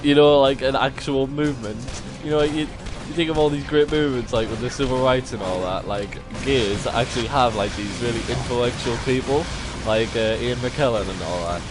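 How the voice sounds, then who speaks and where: A man's voice: medium pitch, normal speed. Young man chatting over music. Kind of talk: casual chat while playing a game.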